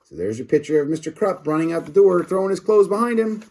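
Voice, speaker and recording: Male read-aloud narrator for kids, expressive and dramatic, very animated, slightly high voice. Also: fast. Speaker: storybook reader for children.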